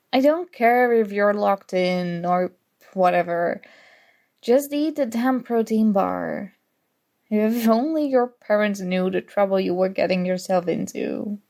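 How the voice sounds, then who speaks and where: A young woman acting in an ASMR audio roleplay as a prickly but grudgingly fond student, teasing and defensive. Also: mid-range voice. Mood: gruff caring. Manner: teasing, defensive, flustered, soft-spoken tsundere.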